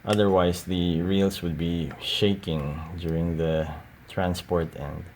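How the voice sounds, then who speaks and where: A man giving a casual, unscripted show-and-tell in a hesitant, halting way. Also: low-pitched. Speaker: collector showing cassette tapes. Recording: audible steady background noise.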